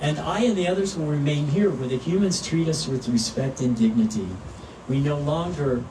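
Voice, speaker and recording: A man's voice: fairly high pitch, moderate pace, warm tone, lightly acted. A man voicing a proud, kindly, protective grown male lion in a children's storybook, speaking with assurance. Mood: proud, reassuring. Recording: roomy, distant mic, noisy ambience.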